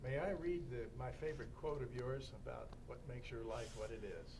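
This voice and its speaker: A man's voice: mid-range voice, a little fast, creaky. A man speaking informally and reading aloud at a club meeting. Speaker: club member addressing the guest. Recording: distant mic, slight background noise.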